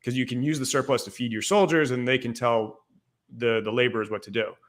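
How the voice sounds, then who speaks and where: Man from ireland, young adult, casual podcast guest, middle pitch, loose rambling delivery, lots of fillers. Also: steady tone, brisk. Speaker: guest historian.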